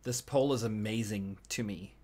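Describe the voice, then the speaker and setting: A man's voice: fairly low pitch, moderate pace, dark tone. A man co-hosting a casual gaming panel discussion, often repeating and restarting his phrases as he talks. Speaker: podcast co-host.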